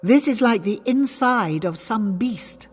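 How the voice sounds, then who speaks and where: A woman's voice: a little high, animated, takes it slowly, slightly rough, faint music behind, pitched above the performer's own voice, exaggerated voice. A woman voicing a fierce, wary young warrior woman in an audiobook, speaking bluntly and formally. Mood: wary, grave. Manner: blunt, formal phrasing without contractions. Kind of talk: dramatic audiobook character dialogue.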